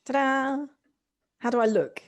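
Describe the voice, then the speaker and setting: Actress as effusive, encouraging woman, higher voice. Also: measured pace. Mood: excited. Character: effusive, encouraging woman, theatrical and tenderly sympathetic. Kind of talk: amateur audio drama acting. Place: recorded at home on a personal device.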